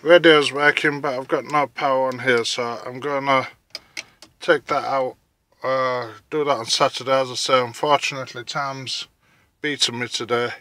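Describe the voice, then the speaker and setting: A man giving casual, halting hands-on commentary as he thinks aloud through a DIY job, recorded on a handheld phone. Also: mid-range voice, fairly even intonation, bright, forceful tone, dry recording, faint music behind. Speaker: vlogger narrating a DIY car radio install.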